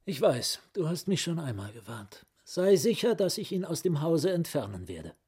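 Husky, self-assured educated man. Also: on the high side, very animated, moderate pace, darkish tone, dry recording, studio quality, full character voice. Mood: ironic, assured. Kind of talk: radio play acting in German.

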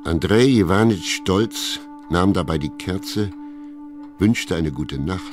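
A man reading scripted literary narration for a radio drama in a measured, understated manner. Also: deep voice, animated, music in the background.

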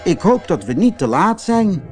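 Anxious male rabbit for a children's tale, performed by a man, high-pitched and swooping, full of fretting. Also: very animated, moderate pace, soft background music. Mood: worried.

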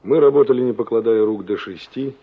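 A man's voice: medium pitch, a little fast, slightly rough, lightly acted. An actor playing a young engineer who narrates his memories dramatically in a Soviet radio play. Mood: nostalgic, animated. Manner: animated, reminiscing. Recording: dull 1960s radio play recording.

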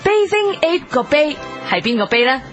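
A woman playing a formal, brisk and absurdly biased school quiz host in a comedy radio sketch, with a Hong Kong Cantonese accent. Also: sits high, sing-song, highs cut off. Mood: brisk, deadpan. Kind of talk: comedy radio sketch as game show hosting. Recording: music behind the voice.

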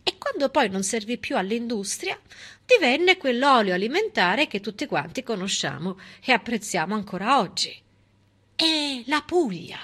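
A woman performing a theatrical narrative monologue as a stage storyteller, emphatic, with dramatic pauses and an ironic edge. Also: fairly high pitch, bright, forceful tone.

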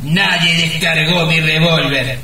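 A man playing a sly, greedy old miner in a comic radio play, with a creaky, sharp voice and slow theatrical delivery. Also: fairly high pitch, steady tone, full character voice. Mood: gloating. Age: older. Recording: very echoey, distant, slightly noisy studio sound.

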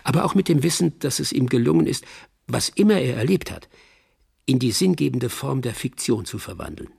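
An actor playing a wry old friend who narrates an epilogue in a radio play, deliberate, with a husky, gravelly voice. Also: male voice, medium pitch, expressive, a little mellow, exaggerated voice. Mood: wry, reflective.

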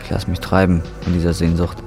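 Deep-voiced, brooding young man. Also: completely flat delivery, fairly quick, gravelly, over music. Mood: lonely, longing. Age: 20s. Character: troubled, bitter young man on drugs, brooding narrator. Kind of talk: dramatic first-person narration and radio drama dialogue.

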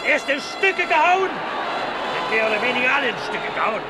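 An excitable arena crier with a very high, lively voice, heard over noisy ambience. He calls out loudly in old-style radio drama fashion. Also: fairly quick, a loud crowd. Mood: excited. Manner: shouting announcements. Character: loud, excitable Roman arena caller. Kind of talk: German radio play acting.

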